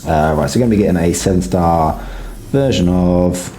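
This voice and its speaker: A man's voice: moderate pace, mellow, slight background noise. A man with a sore throat giving casual, hesitant game commentary full of ums. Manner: hesitant, filler-heavy, low-key. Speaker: gaming guide creator. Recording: roomy echo.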